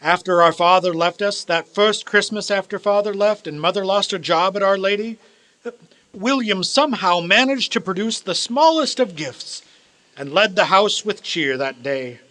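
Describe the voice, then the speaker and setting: An actor playing a bitter, miserly older man who softens into regret, in a radio play. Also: sits high, expressive, moderate pace. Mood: wistful. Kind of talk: live radio play acting. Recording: roomy, echoing stage sound.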